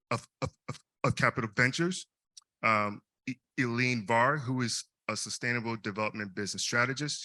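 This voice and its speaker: Bright, halting young man emceeing. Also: mid-range voice, no room sound. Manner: hesitant, frequent repeated words. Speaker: student event host. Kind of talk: live event emceeing.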